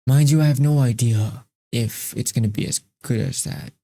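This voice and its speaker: A man's voice: mid-range voice, normal speed, gravelly, dark tone. A man hosting a reaction video, talking casually and emphatically, repeating himself and swearing freely.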